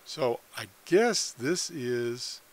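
A man's voice: mid-range voice, expressive, drawn-out words. American man giving an unscripted screen tutorial. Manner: unscripted, thinking aloud. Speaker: amateur radio hobbyist demonstrating a website. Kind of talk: casual screen-share tutorial.

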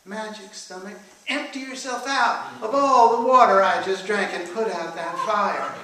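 Man putting on a high, crowing rooster. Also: background noise. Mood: commanding chant. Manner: loud chanting, drawn-out words. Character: defiant magic rooster, crowing demands. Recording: very echoey room, distant mic.

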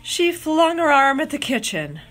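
A woman reading a children's picture book aloud with expressive, drawn-out dramatic phrasing. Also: sits high, over music. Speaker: storybook reader.

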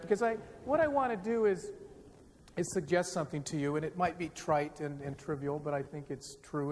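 A middle-aged man gives a public lecture on stage in a large dark auditorium, speaking into a podium microphone in a conversational, self-deprecating way. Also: a little high. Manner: conversational, self-deprecating, gets the audience singing. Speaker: forum speaker. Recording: reverberant hall with faint hiss. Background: live audience.

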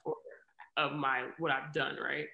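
A woman's voice: sits low, flat delivery, measured pace, gravelly, narrow, tinny audio. A young woman in her twenties, speaking as an interview guest over a video call from her living room, candid and reflective, with lots of "um" and "right?". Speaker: interview guest, athlete and poet. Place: living room at home, indoors. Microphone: video call webcam. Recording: roomy echo on a video call.

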